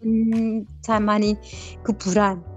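Interviewee: North Korean-accented woman in her thirties, mellow dark voice, emotional halting storytelling, muffled dry lo-fi capture. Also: medium pitch, little pitch movement, soft background music. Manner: emotional storytelling, frequent fillers and restarts. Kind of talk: personal recollection in an interview. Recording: dull lo-fi dry recording.

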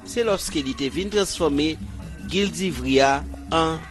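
A man reading a news report as voice-over in a measured way. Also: on the high side, soft background music.

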